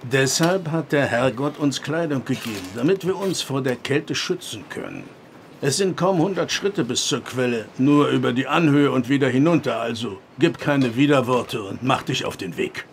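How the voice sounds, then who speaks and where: An actor playing a stern, pious farmer father in a radio drama, gravelly and formal in speech. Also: mid-range voice, lively intonation, normal speed, slight background noise, close to an everyday voice. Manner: formal, commanding.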